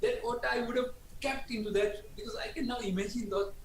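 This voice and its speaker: A man's voice: very high-pitched, fairly even intonation, fairly quick. A man speaking conversationally as an interview guest, often tagging statements with a questioning "no". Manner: tags statements with "no?". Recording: echoey room, faint background noise.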